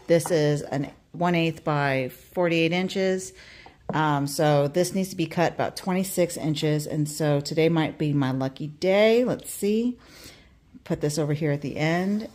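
A woman's voice: sits low, darkish tone. A woman hosting a casual hands-on woodworking tutorial, thinking aloud as she works in a workshop. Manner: thinking aloud while working. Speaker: woodworking host. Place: home workshop.

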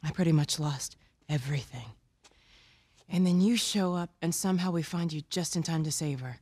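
Husky, resolute militia leader. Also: female voice, low-pitched, a little mellow, no room sound. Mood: subdued, weary. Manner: measured, firm. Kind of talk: naturalistic video game acting.

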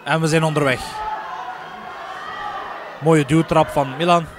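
A man's voice: a little high, fairly even intonation, unhurried, a crowd in the background. A man giving live kickboxing commentary as a fight commentator. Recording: noisy event hall ambience.

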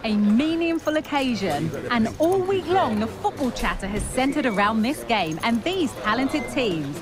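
A woman playing a TV football presenter, delivering scripted pre-match commentary in a dramatic, hyped, emphatic way. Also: on the high side. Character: excited female TV football presenter hyping matches. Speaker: sports commentator. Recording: clear stadium ambience behind voice.

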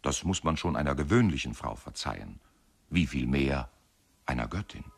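An actor playing a wry widower in a German radio play, telling his story with deadpan irony. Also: rumbling low, moderate pace, vocal fry, breathy edge, exaggerated voice. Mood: wry, matter-of-fact. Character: wry widower calmly recounting his goddess wife's vanishing.

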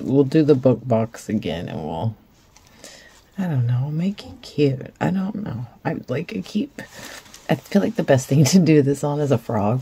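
A woman hosting a resin craft video, raspy after a concert, chatting in a rambling, self-deprecating way while she works. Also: a bass voice, warm tone. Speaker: resin craft channel host. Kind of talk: casual chat while crafting. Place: craft table indoors.

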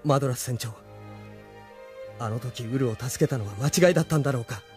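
A man's voice: medium pitch, a little fast, a little mellow, faint music behind, close to an everyday voice. An actor playing a hot-headed, idealistic young man in an audio drama, earnest and agitated. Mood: troubled. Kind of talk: Japanese audio drama acting.

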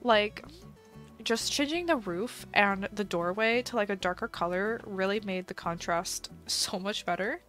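A woman's voice: mid-range voice, measured pace, fairly bright tone. A woman live streaming a game in casual chat, chatty and giggly, her voice slightly stuffy from a cold. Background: faint background music.

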